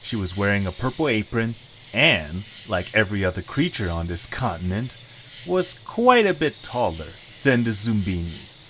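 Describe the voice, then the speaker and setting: A man's voice: fairly low pitch, very animated, normal speed, fairly bright tone, rough recording. Male narrator for an audio story, scripted read, light background noise with night insects.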